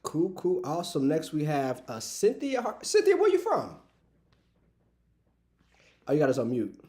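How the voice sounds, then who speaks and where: Lively woman host calling on guests. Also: low-pitched, normal speed. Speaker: host introducing callers. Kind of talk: casual hosting.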